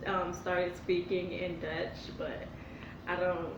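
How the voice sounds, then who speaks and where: A woman's voice: on the low side, level tone, slow pace, distant mic. Rambling young woman in an echoey room. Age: young adult. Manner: meandering, with many fillers and self-corrections. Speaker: YouTuber answering viewer questions. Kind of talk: casual rambling vlog chat. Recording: echoey room, off-mic, noisy.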